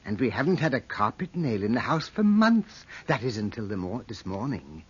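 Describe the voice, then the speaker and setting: An actor playing a deferential household butler with a sly, insinuating streak, formal and pointed, in 1940s radio drama style. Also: male voice, medium pitch, sing-song, normal speed, breathy edge. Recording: muffled old radio drama recording.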